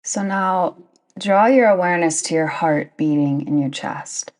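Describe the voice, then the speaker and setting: Slow, low-voiced woman guiding meditation. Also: a little mellow, low-quality recording. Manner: slow, soothing, deliberate phrasing with long pauses. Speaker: yoga nidra guide. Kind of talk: guided meditation narration.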